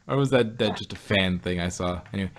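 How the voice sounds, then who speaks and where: A man chatting casually about stories in a rambling, halting way, often repeating words as he works out a thought. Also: low voice. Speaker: podcast host reviewing audio dramas. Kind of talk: casual chat, fan speculation. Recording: noticeable background noise.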